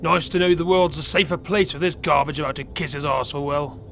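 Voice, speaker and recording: A coarse prison warder played by a man jeers in a raised, gravelly male voice. It is horror audio drama, with music underneath. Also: a little high, fairly quick, a bit bright. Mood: jeering.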